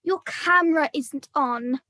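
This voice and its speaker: A young woman plays a bossy, exasperated puppet in a comedy show. The voice is extremely high and sharp, with slow, deliberate scolding in a dry, close sound. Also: no echo. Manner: stern, scolding. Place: video call.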